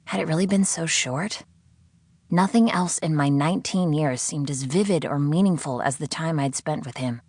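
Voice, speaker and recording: A low-pitched woman narrates a novel in the first person. Her reading is measured and expressive, recorded close and very dry, with no room sound at all. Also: no echo. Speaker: audiobook narrator.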